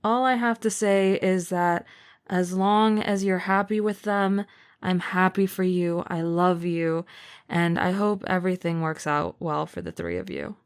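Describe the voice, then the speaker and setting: Woman playing an unhurried, protective older sister. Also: on the low side, clean tone. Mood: warm, affectionate. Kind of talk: amateur audio roleplay acting.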